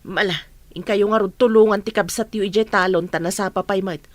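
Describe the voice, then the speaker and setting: A woman's voice: mid-range voice, fairly even intonation, a little fast, no room sound, close to an everyday voice. A woman playing a village mother who scolds plainly, with an Ilocano accent, in a radio comedy drama. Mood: nagging. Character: village mother nagging her student daughters.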